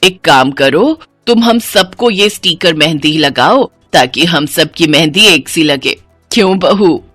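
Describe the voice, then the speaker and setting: A woman's voice: low-pitched, animated, unhurried, a bit bright, no echo, soft background music, pitched below the performer's own voice, full character voice. A woman voicing a kind, practical older mother-in-law in a cartoon story. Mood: kind, brisk.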